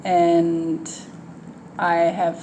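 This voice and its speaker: A Danish woman in her twenties speaks English with a Danish accent and a fairly low voice. She answers interview questions thoughtfully, pausing with ums and restarting her sentences. A clear hum of background noise sits under the recording. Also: monotone. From Denmark. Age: 20s. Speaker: interviewee, exchange student. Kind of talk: casual interview conversation.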